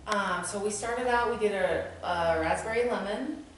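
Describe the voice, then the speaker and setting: A woman's voice: mid-range voice, moderate pace. An American woman from Utah chatting casually as an interview guest. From Utah, United States. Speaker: interview guest, baker and business co-owner. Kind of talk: casual chat. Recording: very echoey room, distant mic, noisy.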